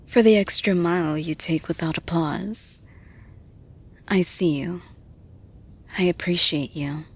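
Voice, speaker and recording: A nurturing female boss, played by a woman in an intimate audio roleplay. Her voice is breathy and on the low side, moving very slowly. She soothes in a maternal hush that often slips into a whisper. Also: heavily acted. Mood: warm, appreciative. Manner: soothing, hushed, unhurried, often dropping to whispers. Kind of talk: intimate ASMR-style roleplay monologue.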